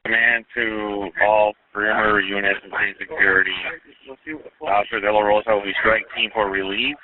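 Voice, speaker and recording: Low-voiced male police commander over radio. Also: level tone, moderate pace. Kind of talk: police radio dispatch traffic. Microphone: two-way radio. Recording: narrowband phone-like police radio.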